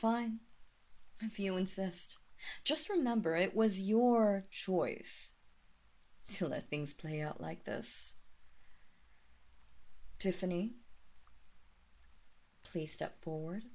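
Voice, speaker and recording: Slow, imperious vampire mistress, acted by a woman. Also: medium pitch, darkish tone. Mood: coldly menacing. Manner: slow, deliberate, formal, condescending. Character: imperious female vampire mistress, coolly menacing aristocrat. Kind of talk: scripted roleplay audio drama.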